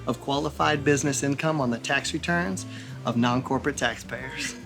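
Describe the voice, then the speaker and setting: A man's voice: medium pitch, moderate pace, vocal fry, over music, lightly acted. Brief: man as a breezy, old-fashioned charmer. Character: charming, smooth-talking old-time gentleman. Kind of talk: comedic noir skit acting.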